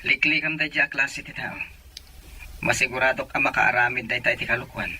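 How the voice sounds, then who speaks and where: An actor playing an earnest, protective young seafaring sweetheart speaking over the phone in a radio drama. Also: male voice, mid-range voice, fairly even intonation, fairly quick, sharp tone, husky. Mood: indignant.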